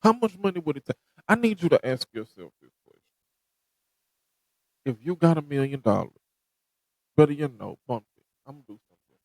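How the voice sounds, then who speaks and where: A man's voice: on the high side, big pitch swings, fairly quick, creaky, pauses often, no echo, rough recording. A man hosting a podcast, giving a direct, motivational talk that repeats its points. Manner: preachy, direct, repeats himself. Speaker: podcast host.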